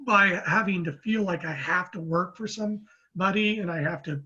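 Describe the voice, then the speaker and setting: A man in his fifties from Oakland, a guest telling his story in a rambling, measured way with many restarts. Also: high-pitched, sharp tone, slightly rough, lo-fi sound. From Oakland, California, US. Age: 50s. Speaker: guest, real estate economist. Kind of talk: casual interview talk.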